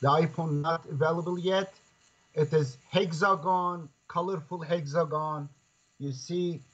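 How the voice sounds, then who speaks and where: A man with a Kurdish accent speaking as a guest in a discussion, phrasing things in a halting, deliberate way. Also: a little high, fairly even intonation, fairly bright tone. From Turkey. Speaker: guest, scholar and author. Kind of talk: conversational discussion. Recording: dull lo-fi online call.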